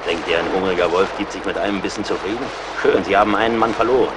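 Clear battle noise surrounds a low male voice. It is a grimly practical frontier scout acted by a man in a radio play, terse and commanding, with a sardonic edge and loyal to his companions. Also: steady tone, fast talker, close to an everyday voice. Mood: grim, bitter. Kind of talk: German radio play acting.

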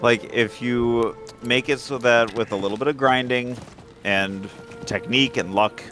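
A man's voice: mid-range voice, steady tone, unhurried, fairly bright tone. A man playing co-op games and chatting casually as he plays. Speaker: co-op gamer. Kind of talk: casual chat while gaming. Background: faint game music.

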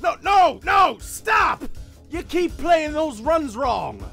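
A high-pitched cartoon boy who is hot-headed and exasperated. His whiny, shouty delivery swings wildly, with music underneath. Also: big pitch swings, takes it slowly, gravelly, heavily acted. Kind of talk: cartoon comic dub acting.

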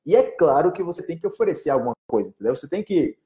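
A man, teaching in a casual live stream, animated and often checking that listeners follow. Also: fairly high pitch, racing. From Northeast Brazil. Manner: animated, gestures a lot, often asks "entendeu?". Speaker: Portuguese teacher.

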